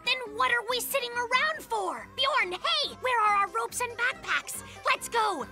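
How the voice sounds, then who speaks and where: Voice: very high voice, expressive, a little slow, full character voice. An actor voicing an eager, boastful young brown bear inventor in a children's cartoon, with a childlike voice. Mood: eager, proud. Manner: boastful, exuberant, playful catchphrases. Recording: music behind the voice. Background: children's cartoon music.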